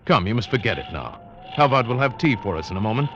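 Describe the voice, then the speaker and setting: A man's voice: fairly low pitch, big pitch swings, fast talker, background noise. A man acting as a lonely, haunted sailor in old radio drama, brooding and earnest as he narrates and speaks. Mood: urgent, concerned. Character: lonely, haunted, lovestruck male sailor narrating his past. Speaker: narrator and lead actor. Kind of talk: 1940s-50s radio drama acting and first-person narration. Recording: dull vintage radio recording with music behind.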